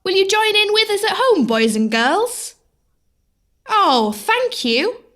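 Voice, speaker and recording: A woman's voice: very high-pitched, very animated, slow pace, fairly bright tone, exaggerated voice. A woman playing a sweet, earnest young pantomime heroine, wide-eyed in an audio play. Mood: hopeful.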